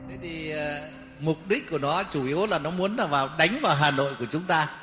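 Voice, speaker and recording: A man's voice: fairly high pitch, a little fast, sharp tone, big-room sound, soft background music. A man recounting spontaneously, as in an archive testimony.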